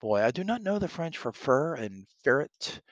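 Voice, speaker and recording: Man reading young boy go-between, careful halting interpreter, Shakespeare play, dry sound. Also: a little high, very animated, no room sound, highs cut off, pitched above the performer's own voice. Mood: dutiful. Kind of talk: Shakespeare play read-aloud.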